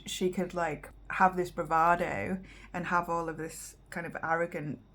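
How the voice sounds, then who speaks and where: A British woman giving casual reaction commentary as host, rambling and halting as she talks. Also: lowish voice, flat delivery, a bit bright. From Britain.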